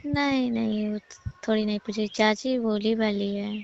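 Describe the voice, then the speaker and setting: A woman's voice: medium pitch, fairly even intonation, moderate pace, creaky, darkish tone, highs cut off. A woman chatting casually in a private phone conversation, recorded from the call.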